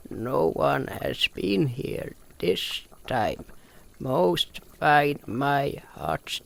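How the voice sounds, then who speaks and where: A man's voice: a little high, gravelly, pitched above the performer's own voice, full character voice. A man voicing a small, kindly old frog-like hermit healer who speaks in short phrases of broken grammar, in a fantasy audio story.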